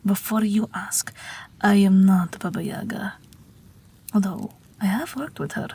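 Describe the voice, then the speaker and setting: A woman voicing an ageless Russian night witch pilot, wry, tender and weary, in an intimate, whispery audio roleplay. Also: medium pitch. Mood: wry, exasperated. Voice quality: breathy, whispery. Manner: gentle, dryly wry, unhurried.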